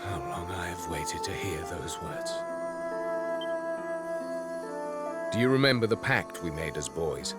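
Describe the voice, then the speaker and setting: An actor playing a brooding fallen knight in a video game, bitter yet longing for his old friend, theatrical and measured, dropping into murmurs. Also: low voice, animated. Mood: yearning, resolute. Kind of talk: dramatic video game voice acting. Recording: music behind the voice.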